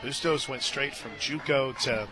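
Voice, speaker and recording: A man doing live radio play-by-play commentary of a softball game as the announcer. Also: medium pitch, a little slow. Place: outdoor softball stadium radio booth. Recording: stadium crowd noise and music behind. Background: crowd and music.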